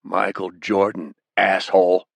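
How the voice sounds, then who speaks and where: Man acting a defiant, deep-voiced captive fighter. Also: normal speed, a bit bright, husky, no room sound. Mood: furious. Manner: through clenched teeth, defiant.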